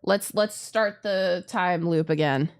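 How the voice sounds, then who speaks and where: A woman streamer chatting casually through a game, expressive and giggly, often swearing and interrupting herself. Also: fairly low pitch, slow. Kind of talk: live visual novel playthrough commentary, casual chat.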